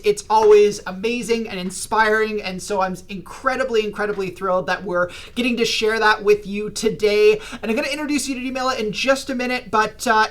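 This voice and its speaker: A man hosting a livestreamed interview, energetic and enthusiastic. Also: sits high. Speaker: host.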